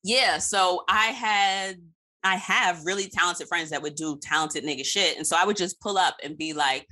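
Low, bright-voiced woman podcast host. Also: moderate pace. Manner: slangy, freely swearing, playful. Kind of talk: casual chat.

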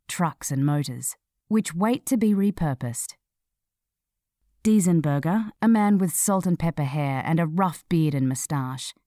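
A woman narrating a scripted feature article in a measured, clearly read delivery. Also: sits low, mellow, no echo, studio quality.

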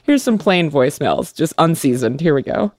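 Podcast co-host: playful, emphatic woman, lively pitch, dry close sound. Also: moderate pace, no room sound. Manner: animated, playful emphatic exclamations. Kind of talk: casual comedic chat.

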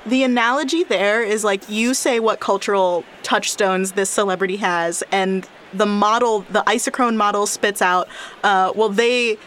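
A chatty woman in her twenties, co-hosting a relaxed science podcast. She explains things playfully, full of likes and ums. A faint hiss sits behind her voice. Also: mid-range voice, normal speed. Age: 20s. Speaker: podcast co-host. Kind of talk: casual science podcast chat.

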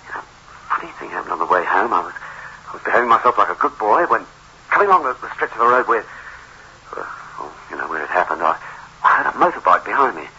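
A frantic, guilty husband in a 1960s radio thriller, very sharp and forceful, his pitch leaping about as he stammers defensively. The old radio recording is dull, with faint noise. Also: big pitch swings, fast talker, pauses often, heavily acted. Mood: uneasy. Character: frantic, guilty husband fleeing a fatal road accident. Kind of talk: 1960s radio suspense drama acting.

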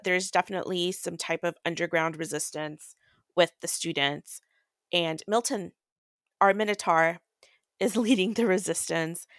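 A woman's voice: fairly low pitch, fairly even intonation, unhurried, fairly bright tone, no echo. Casual young woman podcaster, very dry recording. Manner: crude, irreverent humor with frequent swearing. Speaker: podcast host. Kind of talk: casual book recap chat.

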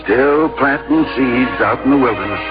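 A man acting as a worn-out, skeptical frontier settler, broken in spirit. His medium-pitched voice speaks plain folksy lines, in a muffled old radio drama recording. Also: normal speed, over loud music, natural voice. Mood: wistful. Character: weary, disillusioned pioneer, broken in body and spirit. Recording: dull old radio broadcast.

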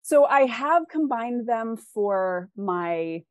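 A woman's voice: fairly high pitch, expressive, takes it slowly, dry recording. Woman interview guest, close dry sound. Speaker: podcast guest, fantasy author. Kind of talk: casual interview talk.

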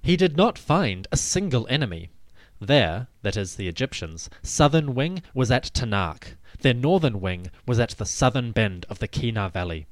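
A man giving a scripted, dramatic narration of ancient history in a measured, solemn storytelling manner. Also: medium pitch, sing-song, no room sound. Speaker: podcast host and narrator.

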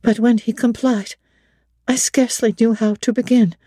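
A woman giving a measured, theatrical dramatic reading of a classic novel in a studio. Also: on the high side, fairly even intonation, warm tone, no room sound.

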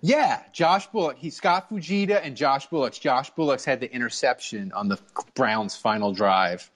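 A man's voice: medium pitch, moderate pace, fairly bright tone. A man hosting a casual sports fan chat, often repeating phrases as he gets going. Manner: stammering, repeats phrases as he starts. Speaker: sports talk show host.

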